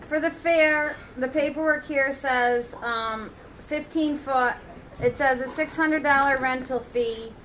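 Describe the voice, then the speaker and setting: Woman, club officer, fairly high voice, halting reading of figures, faint hiss. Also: a bit bright, low-quality recording. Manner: reads out figures, thinking aloud with ums. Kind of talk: meeting discussion. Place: meeting room.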